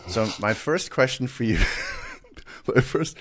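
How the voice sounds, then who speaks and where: A South African man with a partly American accent hosting a podcast interview, animated and quick to laugh, often restarting sentences. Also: medium pitch. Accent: South African with American influence. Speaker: podcast host. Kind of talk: casual interview chat.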